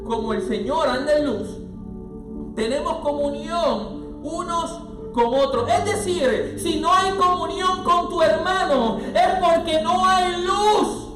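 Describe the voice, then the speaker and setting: A pastor preaching a sermon, swelling from quiet passages into loud, sung-out lines, with music playing underneath. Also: male voice, extremely high pitch, takes it slowly, echoey room, far from the mic, rough recording.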